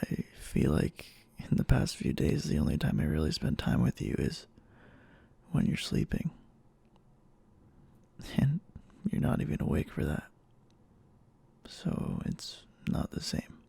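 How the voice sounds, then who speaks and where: Yandere boyfriend, played by a man, for ASMR roleplay: gravelly, breathy, slow, halting, anxiously devoted. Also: a bass voice, wildly swooping pitch, mellow, heavily acted. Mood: hurt, anxious. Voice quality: breathy, husky half-whisper.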